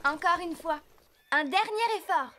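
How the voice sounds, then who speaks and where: A woman voicing an earnest, bossy young girl rider in a French-dubbed children's cartoon, brisk and commanding as she urges others on. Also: extremely high pitch, lively intonation, bright, forceful tone, slight background noise, exaggerated voice. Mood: determined.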